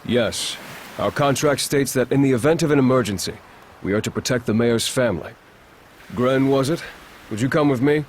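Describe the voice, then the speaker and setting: An actor playing a courteous, dutiful war chief in a video game, speaking in a composed and formal manner. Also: male voice, mid-range voice, slight background noise, close to an everyday voice. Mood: calm, dutiful. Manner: formal, measured wording. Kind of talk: video game cutscene acting.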